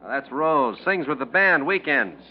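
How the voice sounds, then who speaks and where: An actor playing a falsely friendly roadhouse bartender in a 1940s radio drama, coaxing in hesitant, slangy speech. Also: male voice, mid-range voice, big pitch swings, moderate pace, lightly acted. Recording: muffled old radio recording, faint noise.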